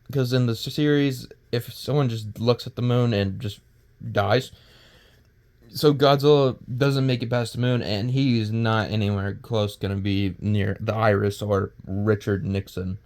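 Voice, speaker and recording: Man doing halting, rambling casual video commentary. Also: fairly low pitch, steady tone, darkish tone. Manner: halting, rambling, stumbles over words and restarts. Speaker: video creator and host. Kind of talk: casual unscripted power-scaling commentary.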